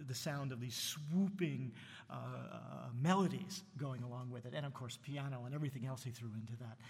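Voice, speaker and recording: Husky jersey-born guy hosting a concert, lots of uhs, big hall echo. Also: mid-range voice. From New Jersey. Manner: halting, full of fillers and restarts, enthusiastic. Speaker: concert host. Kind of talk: casual spoken introduction between concert pieces. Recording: long hall reverb.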